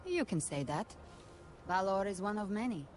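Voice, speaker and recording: A woman's voice: mid-range voice, animated, normal speed, soft background music, natural voice. A woman playing a guarded, commanding warrior in a video game, terse and authoritative. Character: guarded, commanding female warrior with hidden powers. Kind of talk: dramatic video game voice acting.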